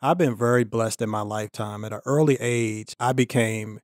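A man in his fifties from the United States, a podcast guest telling his life story in a reflective, measured way. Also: fairly low pitch, no room sound, studio quality. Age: 50s. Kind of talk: casual chat, personal story.

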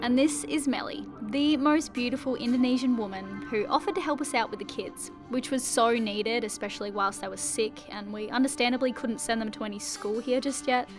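Aussie woman doing travel vlog voiceover, a bit high, music behind. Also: fairly quick, few pauses. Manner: smooth, upbeat travel narration. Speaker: vlogger. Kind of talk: scripted voice-over narration. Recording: music underneath.

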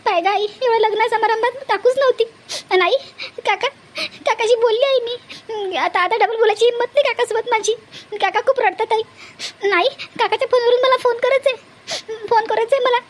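A woman voicing a tearful young bride in a cartoon family drama, pleading and repeating herself with a Varhadi Marathi accent and a pitched-up voice. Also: a little fast. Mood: tearful, anxious. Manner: pleading, repetitive, fretful. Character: tearful young bride leaving her mother.

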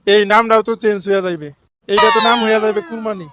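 Man acting bribe-taking cartoon official, high-pitched, fast-talking, sanctimonious, Bangladeshi regional accent, self-righteous. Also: fairly even intonation, natural voice. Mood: sly, self-justifying. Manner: sanctimonious, peppers talk with pious phrases. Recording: loud music behind the voice. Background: loud music.

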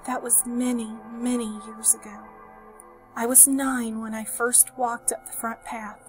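A woman voicing a frightened, tender old woman in an audiobook, with a hoarse, breathy, halting whisper. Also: a little high, little pitch movement, voice pushed up, lightly acted. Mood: tearful, tender. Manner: halting, tearful, tender endearments. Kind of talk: audiobook character voice. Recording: music behind the voice.

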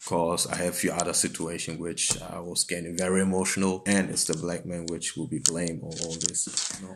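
Deep, creaky, flat-pitched man. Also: background noise. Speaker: interviewee. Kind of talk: personal testimony.

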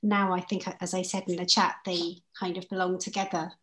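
A woman's voice: on the low side, little pitch movement, brisk, a bit bright. A woman poet on a video call, talking informally and reflectively as she answers questions. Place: indoors at home, on a group video call.

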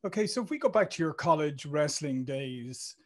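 A man's voice: on the high side, expressive, moderate pace. A middle-aged man with an Irish accent, hosting a video-call interview and chatting with plenty of fillers and restarts. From Ireland. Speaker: interview host. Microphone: studio mic on a video call.

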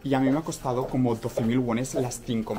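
A man's voice: medium pitch, steady tone, brisk. A man in his twenties with a Spain Spanish accent, chatting casually as a vlogger. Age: 20s. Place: busy restaurants, shops and a home kitchen. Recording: noisy handheld vlog recording.

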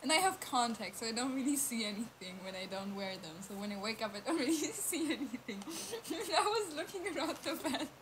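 A young woman, a native German speaker, tells stories in English on a casual livestream, breaking into laughter as she goes. Her voice sits a little high. A steady hiss of background noise runs under the home recording. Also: fairly quick, few pauses. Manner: giggly, laughs through stories. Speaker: livestream co-host.

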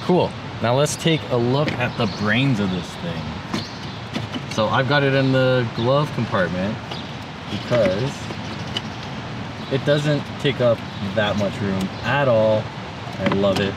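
A man's voice: mid-range voice, lively intonation, slow pace, pauses often. A man in his thirties giving a casual, laid-back but enthusiastic product walkthrough in colloquial language, as a gear vlogger. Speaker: vlogger demonstrating vehicle gear. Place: inside a parked jeep with open door, outdoors. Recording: clear background noise, vehicle ambience.

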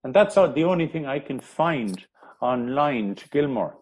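A man, a historian, telling history in an interview, pausing often with ums and restarting his sentences. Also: mid-range voice, animated, darkish tone, slightly rough, rough recording. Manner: hesitant, many ums and restarts. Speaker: historian guest.

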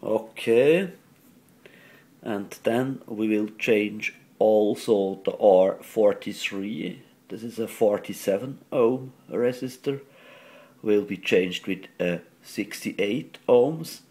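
Man, non-native English speaker, lowish pitch, very slow halting delivery, matter-of-fact, improvised repair demonstration. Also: dark tone. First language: not a native English speaker. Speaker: radio repair hobbyist. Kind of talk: hands-on technical demonstration, improvised commentary. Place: indoors at an electronics workbench.

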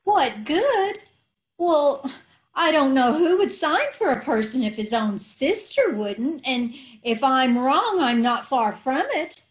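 A woman's voice: high-pitched, big pitch swings, slow, heavily acted. A woman acting a scatterbrained, guileless, literal-minded married woman in an old-time radio comedy. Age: middle-aged. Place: indoors, on a video call.

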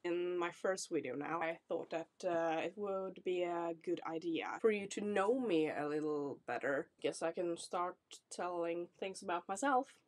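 Norwegian woman, 20s, Norwegian accent, low and slow, casual vlog chat. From Norway. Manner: chatty, apologetic, reassuring, laughs often. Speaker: vlogger. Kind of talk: casual self-introduction to camera. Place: small decorated room indoors.

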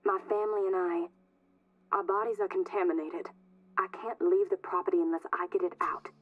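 A woman's voice: on the low side, flat delivery, fairly quick. An actress playing a grave young woman giving urgent guidance over a filtered phone line in a video game. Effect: telephone filter. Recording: muffled narrow phone line.

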